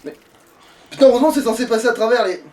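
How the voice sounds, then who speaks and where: High-pitched man grumbling through a game. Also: very fast, darkish tone. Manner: sweary, grumbling. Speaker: let's play gamer. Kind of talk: casual gameplay commentary. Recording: distant mic with faint noise.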